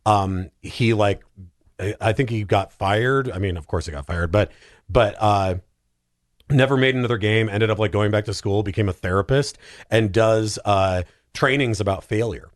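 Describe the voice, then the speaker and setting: A man's voice: fairly low pitch, normal speed, no room sound, studio quality. A man speaking as a podcast guest, telling stories in a casual, comedic way with emphatic repetition.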